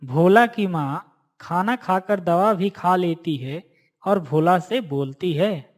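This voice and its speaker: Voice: mid-range voice, slow pace. A narrator reading a scripted moral story for a cartoon in a storytelling style.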